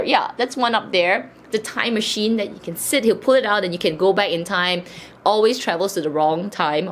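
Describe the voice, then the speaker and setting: A woman's voice: on the low side, slight background noise. A woman from Malaysia, chatting casually as she answers viewer questions, laughing often between thoughts. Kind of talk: casual Q&A chat.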